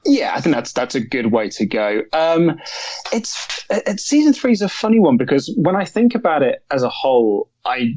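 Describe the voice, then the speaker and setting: A man's voice: fairly high pitch, very animated, normal speed, a little mellow. A man chatting casually as a returning guest on a podcast. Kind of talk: casual chat. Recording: dry, dull lo-fi voice track.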